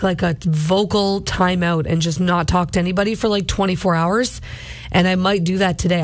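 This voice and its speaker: A woman's voice: fairly low pitch, dry recording. A woman hosts a morning radio show, rambling on in a casual, chatty way. Her voice is going, strained from overuse. The studio sound is close and dry. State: losing her voice. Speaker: radio host. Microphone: studio microphone.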